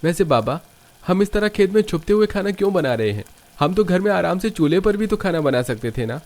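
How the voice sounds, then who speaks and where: An eager young village son, played by a man with his pitch lifted. He sounds curious and questioning, a humble character from a cartoon family tale. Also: big pitch swings, a little fast, darkish tone.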